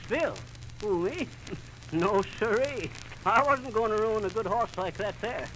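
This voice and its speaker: A man's voice: high-pitched, measured pace, a bit bright. An actor playing a boastful rustic man with a rural American accent, telling tall tales in an old-time radio comedy. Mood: cocky. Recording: noisy vintage radio recording.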